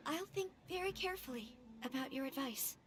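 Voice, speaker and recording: An actress playing a gentle young noble lady in a video game, with formal, deferential manners. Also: sits high, expressive, brisk. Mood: grateful, thoughtful. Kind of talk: scripted video game dialogue. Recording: game music under the voice.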